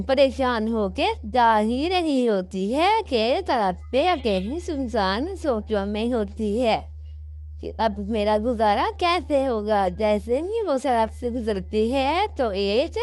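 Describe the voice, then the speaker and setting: Lady telling a kids cartoon story, high voice, slowish, sympathetic and informal. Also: a little mellow, no echo. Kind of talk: animated children's story narration.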